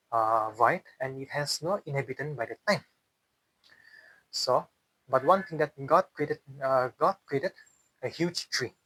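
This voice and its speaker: A man's voice: mid-range voice, bright, forceful tone, slightly rough, no echo, low-quality recording. A man with a Northeast Indian accent telling a story in an interview, explaining as he goes and often repeating and rephrasing his phrases. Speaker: interviewee telling a folk tale. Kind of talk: unscripted oral storytelling in an interview.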